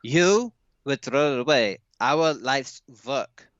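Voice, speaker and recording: A man's voice: mid-range voice, animated, slow pace, fairly bright tone, dry recording, highs cut off. A man playing a cold, possessive Soviet scientist in a horror audio drama, stern and melodramatic in manner. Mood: stern, condescending.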